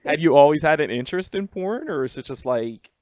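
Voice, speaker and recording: A man's voice: fairly high pitch, expressive, fairly quick, darkish tone. A man hosting a radio interview in a casual, jokey, slangy and crude way. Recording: muffled roomy lo-fi radio interview audio.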